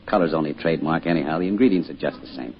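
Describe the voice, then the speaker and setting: A man's voice: a bass voice, very fast, slight background noise. An actor playing a subdued, grieving middle-aged father and small-town shopkeeper, plain-spoken and hesitant, in an old-time radio drama. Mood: kindly, businesslike. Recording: muffled old radio recording, music behind.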